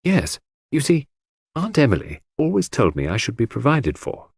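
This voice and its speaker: Audiobook role: male narrator as wistful young woman, hesitant and confiding, pitched up, slightly breathy and rough. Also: wildly swooping pitch, normal speed, dry recording, clean studio sound, heavily acted. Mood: anxious, appealing. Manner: hesitant, plaintive.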